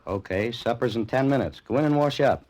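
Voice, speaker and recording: A man playing a gruff, bossy doorman who gives clipped orders in a 1940s-style radio drama. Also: medium pitch, fairly quick, darkish tone, lightly acted. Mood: brusque. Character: gruff, curt male doorman minding a flophouse. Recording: noisy old radio broadcast, faint hiss.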